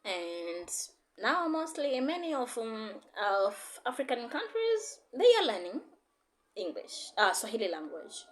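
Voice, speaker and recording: Lively woman teaching. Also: fairly high pitch, measured pace. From Tanzania. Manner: repetitive, encouraging, code-switching teacher. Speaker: Swahili and English teacher. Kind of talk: online language lesson.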